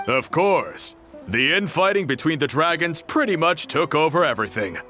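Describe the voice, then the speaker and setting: A man playing an easygoing, exuberant young adventurer in a video game, relaxed and playful. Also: on the high side, moderate pace. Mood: explaining. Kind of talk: animated video game acting. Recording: faint game music behind.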